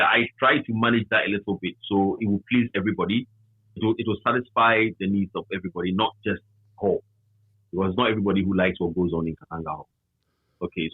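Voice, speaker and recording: Fast husky Ghanaian man, phone-like sound. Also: low voice, no room sound. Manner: repeats and restates phrases emphatically. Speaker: interviewee, former campus radio manager. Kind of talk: conversational reminiscing. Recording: tinny phone-like line.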